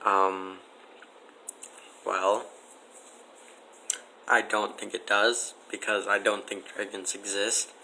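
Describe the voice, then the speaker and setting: A skeptical man argues as a guest on a casual podcast. His voice is bright and forceful but rather monotone. He speaks slowly and haltingly as he debates each point. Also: fairly low pitch, a little hiss or hum, poor audio.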